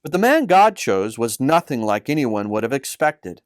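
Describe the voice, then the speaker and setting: A man narrates a children's Bible story from a script, measured and earnest. His pitch sits in the middle, and the recording is very dry. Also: very animated, dry recording. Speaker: storyteller, author reading own story.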